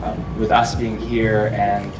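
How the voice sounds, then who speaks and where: A man's voice: lowish voice, takes it slowly, music in the background. Chatty man speaking to a live crowd. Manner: relaxed, chatty, laughs often, asks the audience questions. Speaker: festival speaker. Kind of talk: live public talk to an audience. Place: festival venue with a live audience. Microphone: handheld or stand microphone, often held far off. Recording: roomy echo, distant mic, audible hall noise.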